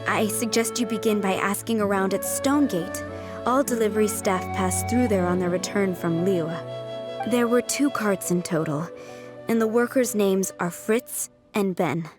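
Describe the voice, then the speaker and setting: A woman's voice: medium pitch, unhurried, lightly acted. A woman playing a composed, businesslike manager in a video game. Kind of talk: game character acting. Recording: game dub over clear background music.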